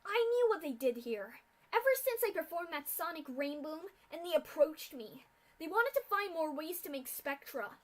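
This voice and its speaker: A bitter adult pegasus mare lashes out in seething fury, as a woman reading a dark story acts her out. Her voice sits high and climbs into shouted hate. She is cold and unforgiving. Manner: screaming in rage, then cold and flat.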